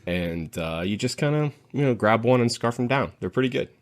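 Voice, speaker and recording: A man's voice: mid-range voice, lively intonation, fairly quick. A man chatting casually and playfully as a co-host on a podcast panel, savoring his descriptions.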